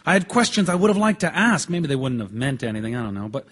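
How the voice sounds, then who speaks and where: Husky, thoughtful doubting juror, reverberant. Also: male voice, medium pitch, big pitch swings, fast talker, a bit bright. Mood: measured doubt. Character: calm, thoughtful, doubting male juror who persists. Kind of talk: live audio theatre drama acting.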